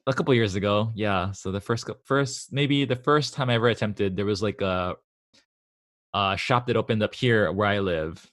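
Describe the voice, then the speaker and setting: A man's voice: on the low side, moderate pace, fairly bright tone. Eager thirties male podcast host. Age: 30s. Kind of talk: casual podcast interview.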